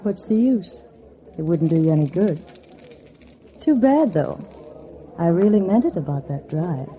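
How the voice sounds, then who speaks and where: Teasing nightclub flower girl, lowish voice, knowing manner, 1940s radio drama, dull sound. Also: lively intonation, unhurried, a little hiss or hum. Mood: coaxing, resigned. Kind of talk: 1940s radio noir acting. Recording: dull vintage radio recording.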